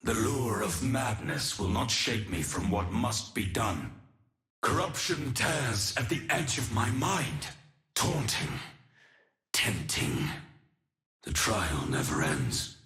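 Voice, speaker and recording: Slow, echoing ancient god-warrior. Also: male voice, fairly low pitch, sing-song, bright, forceful tone, full character voice. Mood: resolute, grave. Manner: solemn, measured, archaic formal delivery. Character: ancient god-warrior fighting his dark urges, solemn and noble. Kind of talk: dramatic video game character lines.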